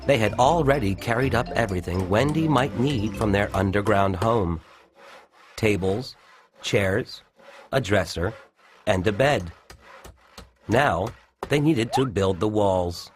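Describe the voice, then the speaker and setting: A man's voice: on the low side, takes it slowly, pauses often, soft background music. A narrator, a man, reading a scripted children's story.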